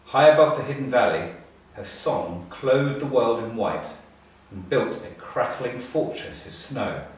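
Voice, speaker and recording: A middle-aged man narrating a children's storybook in a measured, expressive way with pauses. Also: mid-range voice. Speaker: storybook reader, school staff. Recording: echoey room, distant mic.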